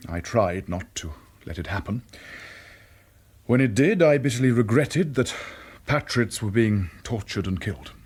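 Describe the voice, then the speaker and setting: An actor playing a blunt, stubborn, embittered former British wartime agent in a radio drama, speaking in a terse, accusing way. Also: male voice, lowish voice, lively intonation, measured pace, a little mellow, slightly rough. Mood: regretful. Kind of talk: naturalistic radio drama acting.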